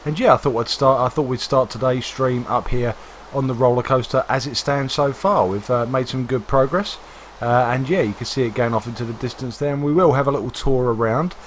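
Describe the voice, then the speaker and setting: A man hosting a game livestream, hoarse and congested from a cold, chatting casually with many fillers. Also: mid-range voice, a little fast, a little hiss or hum. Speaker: livestream host. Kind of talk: casual gameplay commentary.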